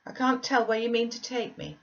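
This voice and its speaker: Casting: woman to play a frail, fretful little boy for a classic storybook, full of questions, pitched up, slightly muffled sound. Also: lively intonation, a little fast, gravelly, a bit bright. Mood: anxious, stubborn. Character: frail, anxious, questioning young boy, reluctant and plaintive. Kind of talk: audiobook character reading.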